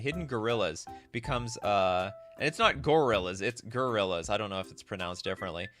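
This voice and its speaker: A man with a bright, forward voice and highly animated, swinging intonation. He hosts a casual live stream, reading text aloud and thinking out loud as he comments. Light music plays behind him. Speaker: host of a card game live stream.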